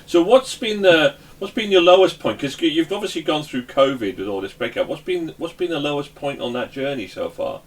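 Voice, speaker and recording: A man's voice: fairly high pitch, expressive, brisk, few pauses. A man hosting a casual interview in an informal, chatty way. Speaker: interviewer, host. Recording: distant mic with background noise.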